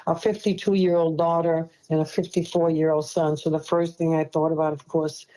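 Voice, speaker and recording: A woman's voice: low-pitched, flat delivery, normal speed, mellow, poor audio. A woman in her seventies with a Brooklyn accent, telling her own story as a podcast guest in a heartfelt, rambling way. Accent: Brooklyn New York. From Brooklyn, New York. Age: 70s. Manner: emotional, heartfelt, rambling with restarts. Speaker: guest, liver transplant recipient. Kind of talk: casual personal storytelling.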